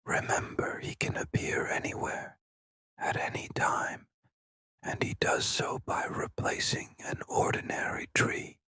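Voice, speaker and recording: A man narrating scripted horror warnings in a breathy whisper, deliberate and ominous. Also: medium pitch. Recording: loud music over the voice. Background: loud music.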